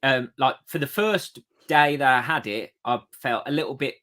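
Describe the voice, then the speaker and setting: A man's voice: medium pitch, moderate pace, a bit bright. Chatty man hosting a podcast. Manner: friendly, chatty, a few fillers. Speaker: podcast host. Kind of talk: casual chat.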